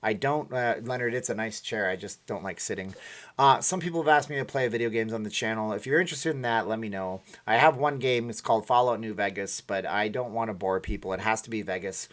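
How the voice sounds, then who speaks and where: A man's voice: fairly low pitch, brisk, a bit bright. A tired man hosting a casual livestream, reading out viewer comments and rambling emotionally. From Minnesota. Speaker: livestream host.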